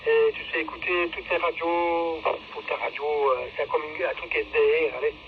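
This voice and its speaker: A man's voice: a little high, steady tone, moderate pace. Noisy, distant two-way radio, hesitant Belgian man. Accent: Belgian French. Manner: rambling, hesitant, repeats himself. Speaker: amateur two-way radio user. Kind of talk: casual radio chat. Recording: dull lo-fi two-way radio, clear noise, distant.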